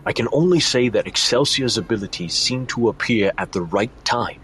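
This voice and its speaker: A man playing a calm, grave, encouraging mentor in an audio drama, speaking formally and with measure. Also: medium pitch, moderate pace, a little hiss or hum, natural voice, poor audio.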